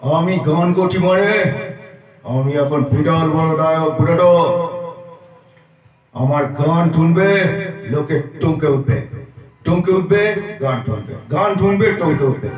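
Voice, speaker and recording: A man's voice: a little high, measured pace, long pauses, crowd noise, lightly acted. A man voicing a swaggering tough boss who boasts about his singing, with lisped words and repeated catchphrases. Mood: swaggering, boastful. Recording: dull, roomy, distant-mic recording.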